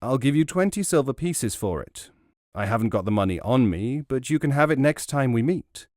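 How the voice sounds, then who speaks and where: A man's voice: mid-range voice, lively intonation, moderate pace, darkish tone, dry recording, clean studio sound, close to an everyday voice. A man voicing a sly traveller in a folk tale, a glib, casual trickster. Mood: glib, persuasive. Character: sly male traveller, a smooth-talking trickster. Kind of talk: storytelling in a narrated folk tale.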